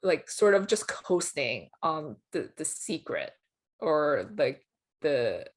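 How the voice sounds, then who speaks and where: Woman, slightly low voice, co-host, casual film commentary, blunt, opinionated. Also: very animated, takes it slowly. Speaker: co-host, film awards expert.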